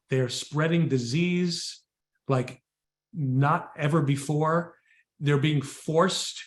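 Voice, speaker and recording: An American man co-hosting a conversational political discussion, speaking emphatically in repeated phrases and rhetorical questions. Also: a little high. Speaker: co-host, political activist. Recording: echoey room sound.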